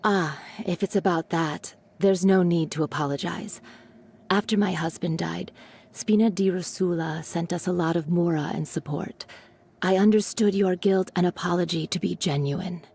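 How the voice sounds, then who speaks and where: A woman voicing a gentle, weary widowed mother in a video game, soft and measured, consoling and reflective. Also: fairly low pitch, fairly even intonation, creaky, slightly rough.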